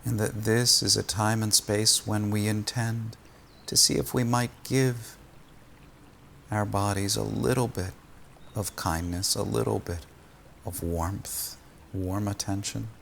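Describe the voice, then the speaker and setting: A man leading a guided meditation as a teacher, speaking gently and soothingly, with long pauses between phrases. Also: lowish voice, flat delivery, vocal fry, slight background noise.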